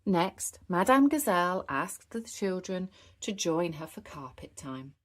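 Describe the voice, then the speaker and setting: Casting: female storybook narrator, slightly low register, animated and expressive scripted delivery for young children. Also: unhurried.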